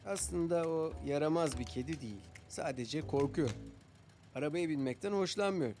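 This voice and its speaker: A man voicing a patient, gentle young father in a children's cartoon dub, explaining things in a soothing way. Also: fairly high pitch, normal speed, close to an everyday voice. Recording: music behind the voice.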